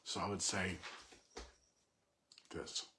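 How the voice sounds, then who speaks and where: A man with a fairly low voice talks very slowly as he works through a poem. His delivery is halting and reflective, in short phrases with pauses, like informal commentary thought out aloud. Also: little pitch movement, sharp tone, slight background noise. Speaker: poetry commentator.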